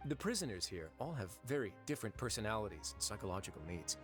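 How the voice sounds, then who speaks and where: Rich: A low-voiced prison warden who speaks calmly with measured authority and a dry touch of wit. His pitch moves expressively, and soft game music sits underneath. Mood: composed. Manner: calm, measured, lightly wry. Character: composed male prison warden, wry and authoritative.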